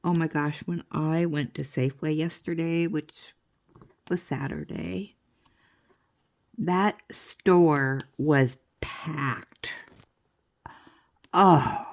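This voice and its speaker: A woman's voice: deep voice, creaky, darkish tone. An older woman with a stuffy nose, chatting casually and rambling in a crafting vlog, laughing often. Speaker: crafting vlogger.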